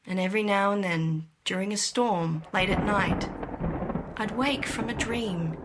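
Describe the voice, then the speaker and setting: Actress as gutsy woman pilot over loud engine noise. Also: mid-range voice, measured pace, close to an everyday voice. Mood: subdued, reflective. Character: young gutsy woman pilot, tense and determined, recounting ordeal. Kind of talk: dramatic first-person narration, audio drama acting.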